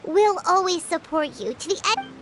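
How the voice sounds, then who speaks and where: A squeaky little fairy companion. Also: female voice, animated, normal speed, soft background music. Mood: reassuring.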